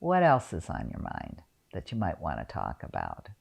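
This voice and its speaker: A woman playing a gentle therapist who speaks softly and encouragingly. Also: rumbling low, wildly swooping pitch, moderate pace, vocal fry, husky, heavily acted. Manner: soft, encouraging. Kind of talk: acted therapy dialogue.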